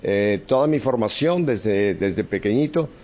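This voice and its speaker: A man in his seventies from Mexico City, a podcast guest telling stories about his childhood in a relaxed, chatty way with easy laughter. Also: fairly low pitch, unhurried. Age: 70s. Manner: chatty, warm reminiscing with frequent laughs. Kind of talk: relaxed storytelling in conversation. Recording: slightly muffled podcast audio.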